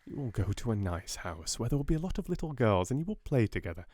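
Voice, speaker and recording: A man voicing a gentle, doting young father in an audiobook, speaking softly and tenderly to reassure a child. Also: mid-range voice, big pitch swings, quick pace, no room sound, clean studio sound. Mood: reassuring. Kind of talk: audiobook character voice.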